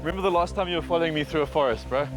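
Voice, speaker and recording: A man hosting, chatting in a matey way outdoors by a waterfall. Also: on the high side. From South Africa. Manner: brisk, matey, says bro and dude. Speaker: host. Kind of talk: casual chat. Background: background music.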